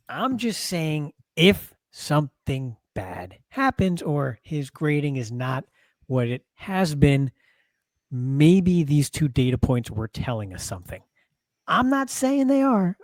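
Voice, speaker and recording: A man's voice: a little high, no echo. A man co-hosting a football podcast in casual chat, wry and teasing, reasoning through his points. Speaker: podcast co-host, football analyst.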